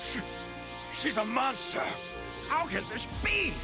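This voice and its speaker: A man's voice: very high-pitched, big pitch swings, takes it slowly, heavily acted. A man playing an anxious, easily shaken fighter in an anime video game. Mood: horrified. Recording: very loud music under voice.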